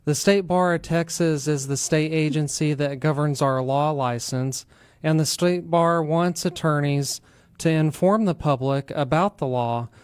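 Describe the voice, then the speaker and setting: A man's voice: a little high, a little mellow, clear, resonant tone, dry recording, clean studio sound. An American man hosting a talk radio show, explaining things to listeners. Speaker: radio show host, attorney.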